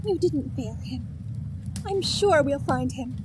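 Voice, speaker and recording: A woman's voice: high-pitched, expressive, slow pace, noisy background. A woman playing a kindly, earnest sugar plum fairy in an audio drama, with a sweet manner. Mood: reassuring. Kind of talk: amateur audio drama acting.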